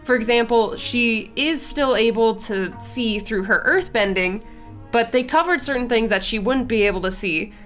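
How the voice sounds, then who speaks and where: A woman's voice: a little high, normal speed, a bit bright, faint music behind. A young woman hosting a casual art channel, talking as she draws, slightly nasal and congested from a cold, with a chatty, rambling way of talking. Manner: chatty, rambling fan talk. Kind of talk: casual chat over drawing.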